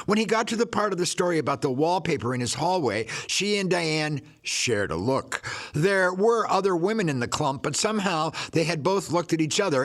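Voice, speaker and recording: Higher-voiced man narrating a short story. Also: fairly bright tone. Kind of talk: scripted short story narration, read aloud.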